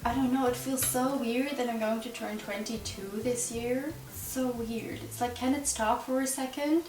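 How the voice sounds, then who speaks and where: A woman's voice: on the high side, steady tone, moderate pace, a little mellow. A 21-year-old woman from the Netherlands with a Dutch accent and Dutch as her first language, chatting and rambling to camera with frequent giggles and fillers. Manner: chatty, rambling, giggly, with many likes and ums. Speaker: YouTuber answering a tag. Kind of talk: casual chat to camera. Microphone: camera mic at a distance. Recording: roomy, distant, with music behind. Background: music playing under the voice.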